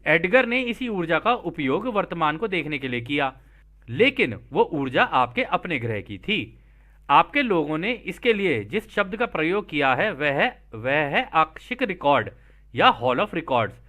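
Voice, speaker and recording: A man's voice: fairly high pitch, big pitch swings, measured pace, sharp tone. A man hosting an explainer, reading out a translated text and breaking off to explain it casually, often checking in with listeners. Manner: explanatory, addresses listeners directly with frequent check-in tags. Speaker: host and explainer.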